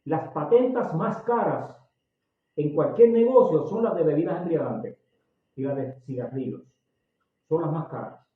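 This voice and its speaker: A man in his sixties from Puerto Rico with a Puerto Rican accent, preaching a sermon emphatically, getting loud and slowing down on key words. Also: a little high. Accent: Puerto Rican Spanish. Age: 60s. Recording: tinny, echoey, distant recording.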